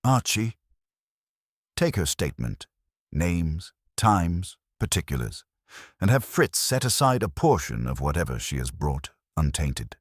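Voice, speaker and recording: An imperious, irritable heavyweight detective acted out by a man gives terse, formal orders. The voice is very deep and dry, from a mystery audiobook. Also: big pitch swings, a little slow, no echo, full character voice. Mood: commanding.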